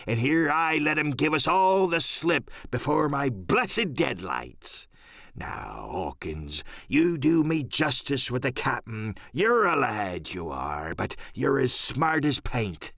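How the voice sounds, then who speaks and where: A man's voice: medium pitch, wildly swooping pitch, slow, vocal fry, fairly bright tone, no room sound, studio quality, full character voice. A man voicing a hearty, cunning old pirate sea cook in an audiobook, talking in folksy, salty seafaring slang. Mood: earnest, aggrieved. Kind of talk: audiobook radio-play character acting.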